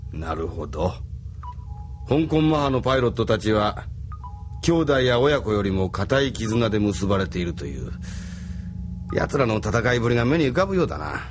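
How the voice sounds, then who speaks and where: A calm, measured resistance leader. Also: male voice, lowish voice, music in the background.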